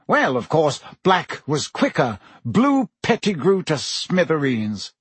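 A man voicing a pompous, anxious middle-aged male minister, fussy and earnest, with a husky voice. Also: on the high side, huge pitch swings, takes it slowly, dry recording, pitched above the performer's own voice, exaggerated voice.

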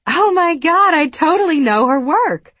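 A woman voicing a tipsy, chatty young Southern woman in an audiobook, gushing and teasing with an excitable manner. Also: very high-pitched, a little slow, dry recording, voice pushed up, full character voice. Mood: excited, amused. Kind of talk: audiobook character dialogue.

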